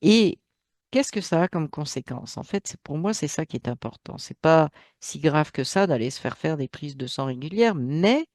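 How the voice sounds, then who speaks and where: Man talking slowly with many pauses. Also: fairly high pitch, animated, darkish tone, no echo, clean studio sound. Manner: hesitant, many fillers and restarts, emphatic asides. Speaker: channel host. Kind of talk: solo spoken monologue.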